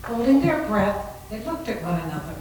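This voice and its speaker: A woman's voice: medium pitch, sing-song, moderate pace, darkish tone. Woman narrating in a very echoey room. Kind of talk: dramatic story narration, live readers theater. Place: library room, live staged reading. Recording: very echoey room, far from mic, faint noise.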